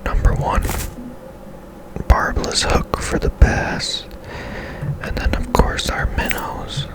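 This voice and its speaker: A person whispering softly and breathily, musing tentatively to themselves in ASMR-style video game commentary. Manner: unhurried, musing to self, tentative. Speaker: gaming ASMR video creator.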